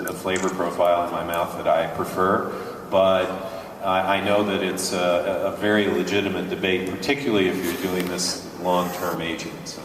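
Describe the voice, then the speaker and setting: A very deep-voiced man leads a panel discussion in a halting way, stopping to search for words. He is heard from far off in a large hall with strong echo and clear room noise behind him. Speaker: panel moderator. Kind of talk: moderating a live panel Q&A. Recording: echoey hall audio from far off.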